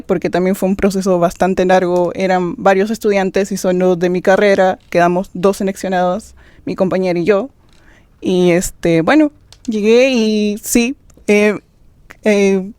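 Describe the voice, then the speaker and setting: A young woman with a Peruvian accent answers thoughtfully, pausing on small fillers. Her voice sits at a mid pitch, and the sound is dry and close. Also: darkish tone, clean tone, dry recording. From Peru. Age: young adult. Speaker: exchange student guest. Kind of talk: conversational answer.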